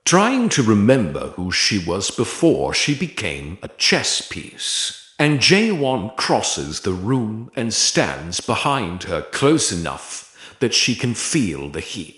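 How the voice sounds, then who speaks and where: A man narrating a dark romance story like a scripted audiobook, deliberately, with dramatic pauses between short phrases. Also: lowish voice, huge pitch swings, roomy sound.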